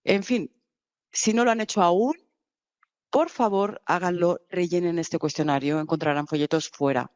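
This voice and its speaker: Woman doing live interpreting, kinda halting, rushes then slows, flat dull dry audio. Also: fairly low pitch, dry recording. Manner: halting, with restarts and uneven bursts of speed. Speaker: simultaneous interpreter.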